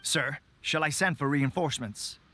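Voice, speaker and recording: A man's voice: fairly high pitch, moderate pace, bright, forceful tone. An actor playing a loyal, eager resistance soldier in a video game who addresses his superiors respectfully.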